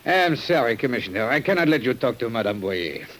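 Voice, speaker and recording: A man playing a firm, formal doctor with a measured, authoritative manner in an old radio drama. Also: medium pitch, lively intonation, loud music behind the voice, lightly acted. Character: firm, formal hospital doctor refusing access. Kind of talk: 1950s radio drama acting. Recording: hissy old radio recording.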